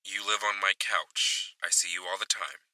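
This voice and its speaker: An actor playing an exasperated, earnest young student in a naturalistic audio drama, terse and defensive. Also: male voice, low voice, fairly even intonation, moderate pace, bright, forceful tone, dry recording, recorded from a distance, poor audio.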